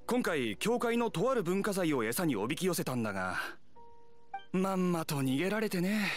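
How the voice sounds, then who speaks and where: Earnest knight, fairly high, animated. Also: male voice, normal speed. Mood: troubled, earnest. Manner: formal, earnest, official. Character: dutiful, officious male town knight. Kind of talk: anime game voice acting. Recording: game music behind the voice.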